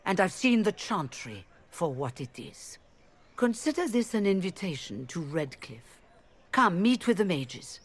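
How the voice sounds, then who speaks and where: A woman's voice: lowish voice, very animated, unhurried, breathy edge, exaggerated voice. A woman playing a proud, diplomatic elven leader of rebel mages in a video game, speaking formally. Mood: resolved, inviting.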